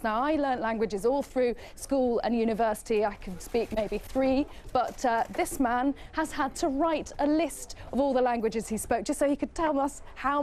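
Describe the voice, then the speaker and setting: A woman news presenter walking through a large newsroom, talking brisk and conversational live to camera. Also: on the high side, darkish tone, slight background noise. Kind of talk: live walkabout news report. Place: large open-plan newsroom.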